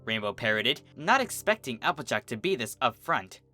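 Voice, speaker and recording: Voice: medium pitch, very animated, normal speed, sharp tone. A narrator reading a scripted fan fiction story in a dramatic storytelling style, with music behind.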